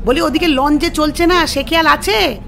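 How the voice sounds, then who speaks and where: A woman playing an impatient, irritated ferry passenger in an animated story, scolding indignantly. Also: sits high, moderate pace, creaky, a little hiss or hum.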